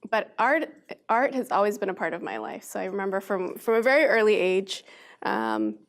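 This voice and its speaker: A woman's voice: medium pitch, big pitch swings, normal speed. An American woman from Boston answering as an interview guest, explaining things reflectively in a conversational way. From Boston, United States.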